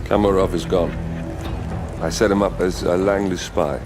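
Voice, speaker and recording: Very deep-voiced cold male schemer. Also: fairly even intonation, over music. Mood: cold, controlled. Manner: terse, deliberate, menacing.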